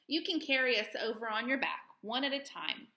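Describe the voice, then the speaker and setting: A woman's voice: a little high, expressive, normal speed, bright, forceful tone, roomy sound. A woman voicing a kindly, decisive male scarecrow in a storybook, practical and earnest as he speaks. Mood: urgent, practical.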